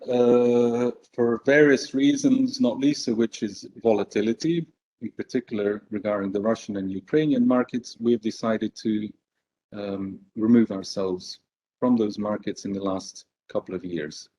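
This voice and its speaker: A man born in Belgium giving a business presentation at a conference, measured and hesitant, with frequent fillers. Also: lowish voice, warm tone, dull audio. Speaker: conference speaker.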